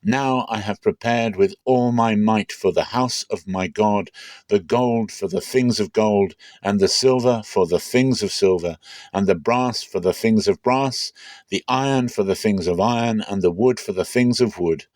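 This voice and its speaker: A man's voice: on the low side, measured pace, darkish tone, no room sound, close to an everyday voice. A man playing an aging, fatherly king of Israel, solemn and commanding, in a declamatory scripture reading. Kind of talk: dramatic scripture storytelling.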